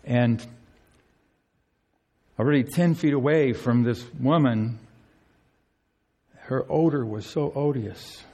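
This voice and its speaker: Earnest preacher in a reverberant hall. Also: male voice, slow, long pauses, warm tone. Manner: earnest, emphatic, voice climbs high on key phrases. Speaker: pastor. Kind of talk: sermon.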